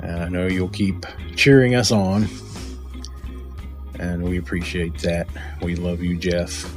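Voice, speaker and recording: Slow, deep-voiced man over music. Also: flat delivery. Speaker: tribute host. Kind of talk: spoken eulogy, closing thanks. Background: guitar music.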